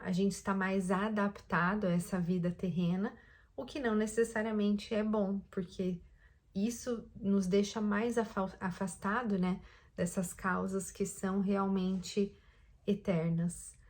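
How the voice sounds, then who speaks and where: A woman from Brazil hosting a devotional talk, speaking thoughtfully and gently, pausing often to find her words. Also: mid-range voice. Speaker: host of daily Lent reflection videos.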